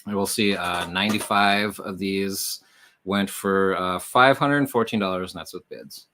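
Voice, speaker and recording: Man, lowish pitch, livestream host, casual explainer, conversational, speaks straight to viewers. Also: moderate pace, background noise. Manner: conversational, addresses viewers directly.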